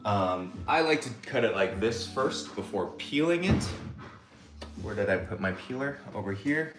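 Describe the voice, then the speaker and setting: A man in his thirties chats loosely through a home cooking stream, his voice sitting low and easy. He rambles with plenty of "like" and "um" while the camera sits a few feet off. Music plays clearly underneath him. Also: a little slow. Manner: relaxed, rambling, lots of "like" and "um". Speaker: cooking livestream host. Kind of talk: casual cooking livestream chat. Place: home kitchen, indoors. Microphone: camera set a few feet away.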